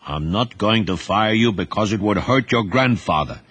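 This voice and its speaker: A man voicing a gruff, stern middle-aged businessman uncle in an audio drama. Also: on the low side, animated, moderate pace, fairly bright tone.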